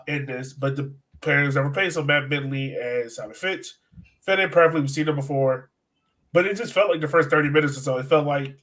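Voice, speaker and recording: A man with a somewhat high-pitched voice co-hosts a sports podcast. He talks in an informal, slangy way, analysing play as if chatting with a friend. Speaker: soccer podcast co-host. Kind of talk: casual sports chat and game analysis.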